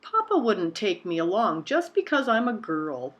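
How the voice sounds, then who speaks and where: A woman's voice: medium pitch, very animated, moderate pace, a bit bright, muffled recording. Spirited storybook schoolgirl, acted by a woman reader, earnest, indignant, full of questions. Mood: indignant, proud. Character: spirited, indignant young girl, eager and questioning.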